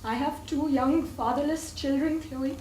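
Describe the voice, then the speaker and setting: A woman's voice: high-pitched, steady tone, unhurried, dark tone. A woman acting in a stage play as a proud widowed mother, a defiant and pointed rival in love. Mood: pleading. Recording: echoey, distant stage recording with faint noise.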